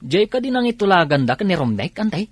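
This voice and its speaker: Husky, inquisitive man played by an actor. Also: high-pitched, sing-song, brisk, no echo. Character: inquisitive husband chatting about a marriage pact. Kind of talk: Ilocano audio drama acting.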